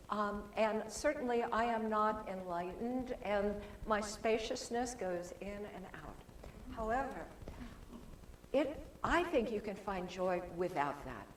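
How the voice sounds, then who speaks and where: A soft-spoken woman giving a chatty, informal talk as a guest speaker at a church service, with plenty of restarts. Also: mid-range voice. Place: church sanctuary. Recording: echoey hall with faint room noise.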